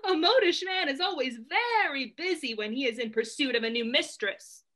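A woman's voice: sits high, fairly quick, fairly bright tone. A young woman playing a snarky waiting woman in a Restoration comedy, pert and sarcastic. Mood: scornful, mocking. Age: young adult. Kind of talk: theatrical period stage acting over video call. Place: indoor room at home, on video call.

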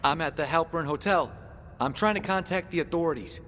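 A man's voice: a little high, fairly quick, fairly bright tone, a little hiss or hum, natural voice. An actor playing a brash, streetwise young male survivor of a zombie outbreak in a video game, with slangy, flippant delivery. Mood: brisk, confident. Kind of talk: video game cutscene acting.

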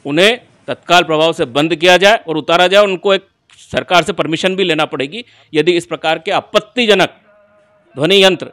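A middle-aged man speaks. He answers interview questions like a political orator, stressing and repeating his phrases deliberately. He is recorded indoors on a handheld reporter's microphone. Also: on the high side, a bit bright. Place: small furnished room indoors, seated on a sofa. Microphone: handheld reporter's microphone held close.

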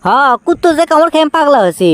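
A man's voice: very high-pitched, very animated, racing, no echo. A man voicing a whiny, sulky young boyfriend in a comic cartoon, with a Bogura accent from northern Bangladesh. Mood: stubborn, pleading. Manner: pleading, stubborn, breaks into teasing song.

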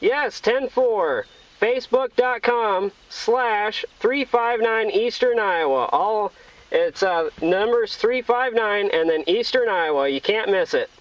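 High-pitched American man on CB radio. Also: slow pace. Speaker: CB radio operator. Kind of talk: casual CB radio chat with jargon. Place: inside a parked or mobile vehicle. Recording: dull, lo-fi in-vehicle sound.

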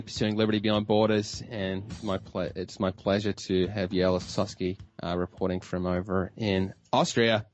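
A man hosts a talk radio program, chatting briskly with a guest and often restarting his sentences. His voice sits on the low side. The audio is dry and dull, with a lo-fi edge. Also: a little mellow, no room sound. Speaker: radio show host.

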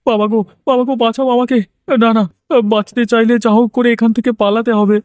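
High-pitched, excitable village scavenger played by a man. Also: fast talker, clear, resonant tone, dry recording. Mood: panicked pleading. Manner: excitable, blustering. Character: stubborn, cowardly village scavenger man, easily panicked. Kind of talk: cartoon horror voice acting.

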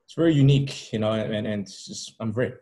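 A man from Rwanda with a fairly low voice speaks as a guest in a casual interview. He tells his story haltingly, repeating words and dropping in "you know" as he goes. It is recorded over a video call. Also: dark tone. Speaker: podcast guest. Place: indoor room at home, video call.

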